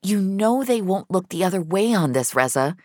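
A woman's voice: mid-range voice, lively intonation, moderate pace, a little mellow, no room sound, clean studio sound, pitched above the performer's own voice, close to an everyday voice. A woman voicing an anxious, blurting female agent in an audio drama. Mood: panicked. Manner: blurting, emotional, swearing. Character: foul-mouthed, anxious female dream-deleting agent.

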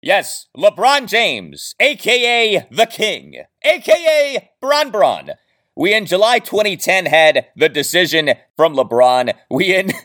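A man's voice: high-pitched, a bit bright. A man hosting a solo sports podcast, talking through his rundown in an emphatic, showman-like way with dramatic stresses. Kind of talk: scripted solo sports podcast monologue with asides.

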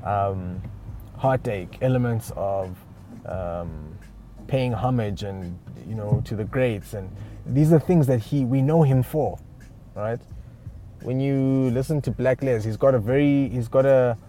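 A man's voice: mid-range voice, unhurried, warm tone, slight background noise. A man from Uganda, giving casual unscripted advice on music careers with lots of fillers and restarts. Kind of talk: casual spoken advice monologue.

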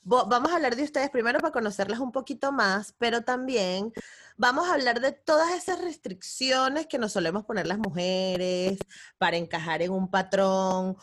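A woman's voice: a little high, moderate pace, a bit bright. A woman hosting a casual podcast interview, chatty, laughing often and tossing in English words. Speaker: podcast host.

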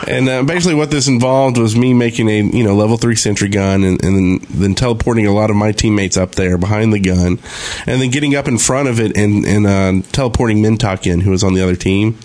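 A man's voice: on the low side, quick pace, hardly any pauses, a little mellow. A man chatting casually as a podcast co-host about gaming.

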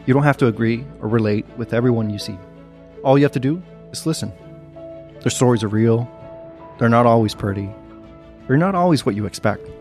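A man hosting a podcast, reading scripted narration in a measured, earnest way. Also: medium pitch, a little mellow, faint music behind. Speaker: podcast host.

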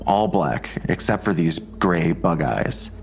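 A terse skeptical cop in an audio drama, deep n gravelly, dry and understated. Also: male voice, animated, slow pace, slight background noise, exaggerated voice. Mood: measured, wary. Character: terse, skeptical male police detective, a father. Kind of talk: full-cast audio drama dialogue.

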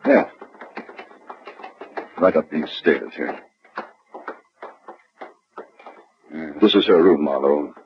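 An actor playing an anxious, well-mannered gentleman in a 1940s radio drama, earnest and flustered. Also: a bass voice, a little slow. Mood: puzzled. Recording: dull, hissy old radio recording.

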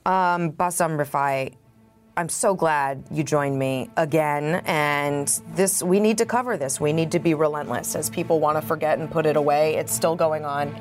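Deep-voiced woman hosting an interview. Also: moderate pace, faint music behind. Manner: direct, conversational questions. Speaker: podcast host.

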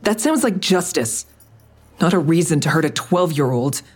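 An actress playing a hard-edged, skeptical woman interrogating an enemy in an audio drama, with a husky voice and terse, pointed, scornful questions. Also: low voice, animated, moderate pace, faint music behind. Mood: probing. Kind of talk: full-cast audio drama acting.

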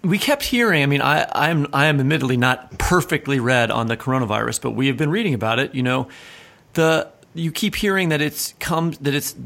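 A man with a mid-pitched voice full of creak interviews a guest on a podcast. His delivery is informal and questioning, like everyday conversation. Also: fairly bright tone.

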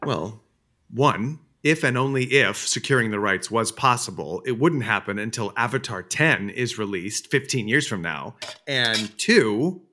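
Male comedy podcast role: dramatic, exasperated writer with a bright, sharp voice and animated intonation. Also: medium pitch, unhurried. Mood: bitterly dramatic. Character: flustered, dramatic male musical writer, bitterly sarcastic. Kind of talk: naturalistic comic audio drama dialogue.

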